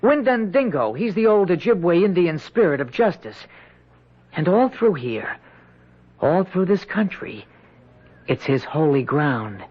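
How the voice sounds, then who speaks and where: A simple, stubborn young soldier lad from an old radio drama, speaking in a high, lively, folksy voice. He is naive, halting and utterly earnest. The sound is dull 1970s lo-fi radio. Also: husky, exaggerated voice.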